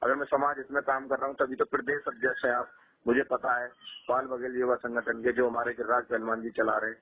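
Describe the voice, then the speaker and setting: A man's voice: mid-range voice, level tone, fast talker, breathy edge. A man talking earnestly on a phone call, emphatic and often repeating his phrases. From Rajasthan, India. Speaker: local journalist and community activist, being interviewed. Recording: tinny, muffled phone call recording.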